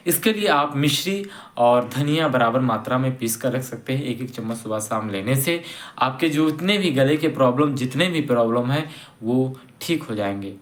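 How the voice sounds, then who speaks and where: A man hosting a health channel, giving plain instructions and often pausing to correct himself. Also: mid-range voice, lively intonation. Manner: plain and instructive, with many pauses and self-corrections. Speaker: Ayurveda health channel host. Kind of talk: informal explanatory talk to camera.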